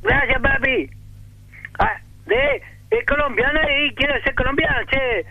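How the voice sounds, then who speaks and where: A very high, gravelly male voice comes through a dull phone line. He is a caller with a Paraguayan accent, joking and teasing the host with excited energy. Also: measured pace.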